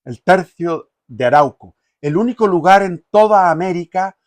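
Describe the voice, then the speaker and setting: A man giving an unscripted history talk, speaking haltingly with many fillers and restarts. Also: sits high, lively intonation. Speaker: history commentator.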